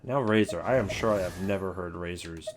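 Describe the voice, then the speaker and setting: A man's voice: sits low, a little fast, background noise. A man giving offhand, opinionated casual commentary as a video creator ranking things.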